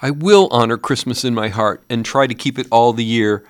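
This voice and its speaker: A man's voice: medium pitch, expressive, a little slow, a bit bright, pitched above the performer's own voice. A man voicing a frightened old male miser who begs a ghost in earnest, old-fashioned speech, his voice strained and rising to anguished cries. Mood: desperate pleading. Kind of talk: audiobook character voice.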